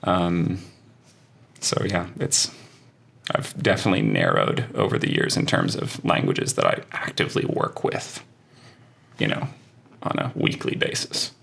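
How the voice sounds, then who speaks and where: A man's voice: low-pitched, big pitch swings, a little slow, gravelly, pauses often, fairly bright tone, husky. A man talking as an interview guest, a language teacher musing thoughtfully and hedging as he reflects. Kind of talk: casual interview conversation.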